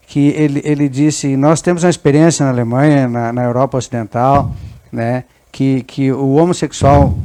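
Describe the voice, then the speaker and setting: A middle-aged man, hosting an interview and recalling past events with hesitant fillers that build into animated storytelling. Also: fairly high pitch. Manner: reminiscing, hesitant "eh" fillers, gets animated when telling a story. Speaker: interview host. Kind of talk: conversational interview.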